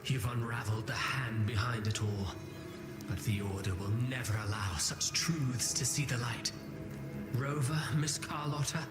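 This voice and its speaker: A man's voice: mid-range voice, moderate pace, lightly acted. A man voicing an ominous figure who taunts the heroes in a video game, with a menacing, mocking manner. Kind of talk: game voice acting. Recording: game audio, roomy echo, music behind.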